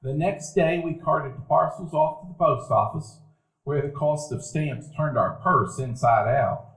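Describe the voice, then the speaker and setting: A man narrating a live stage radio play in a warm, reminiscing storytelling manner. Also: on the high side, measured pace, vocal fry. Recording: echoey room, live audience.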